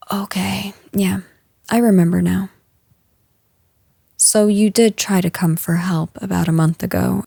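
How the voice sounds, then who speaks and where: A woman playing a caring female boss in an intimate audio roleplay, speaking gently and earnestly with hesitant pauses. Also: medium pitch, gravelly, husky, dry recording, studio quality, full character voice. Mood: apologetic, regretful.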